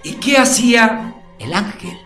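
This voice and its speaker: An actor playing a combative, sarcastic prosecutor in a radio courtroom drama, badgering and declamatory. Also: male voice, high voice, animated, a little slow, a bit bright. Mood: probing, skeptical. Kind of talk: theatrical radio drama acting. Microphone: studio microphone on a stand. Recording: roomy sound with music behind.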